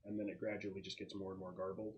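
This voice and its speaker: A man's voice: low-pitched, level tone, fast, dull audio, noisy background. A man narrating a tabletop roleplaying game as game master, describing scenes and actions as they unfold.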